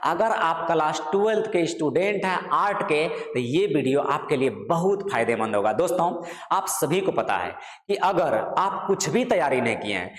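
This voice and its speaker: A man with a Bihari accent teaching an exam-prep lesson to students, repeating himself and often adding check phrases. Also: a little high. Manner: repetitive, with frequent check phrases. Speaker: history teacher. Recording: echoey hall-like reverb.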